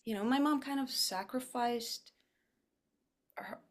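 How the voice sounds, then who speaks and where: A woman's voice: on the high side, slow. A woman raised in Switzerland, Farsi her first language, chatting animatedly as an interview guest with self-deprecating asides. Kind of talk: casual interview chat.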